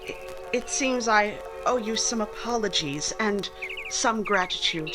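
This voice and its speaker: A woman acting a witty, independent young gentlewoman in a 1940s-style radio drama, poised and emphatic. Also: mid-range voice, lively intonation, measured pace, music in the background, lightly acted. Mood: earnest, tender.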